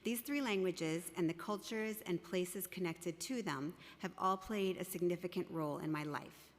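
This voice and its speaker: A woman whose first language is Russian, a professor reading prepared testimony in a measured, formal way in a council chamber. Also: on the low side. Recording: long reverb of a large hall.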